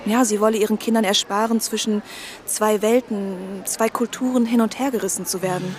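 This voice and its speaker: An actress playing an earnest, outspoken young woman in a naturalistic radio drama, with a husky, breathy voice. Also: mid-range voice, steady tone, fairly quick, lightly acted. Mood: wistful. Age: young adult. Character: earnest, outspoken young woman worried about her brother.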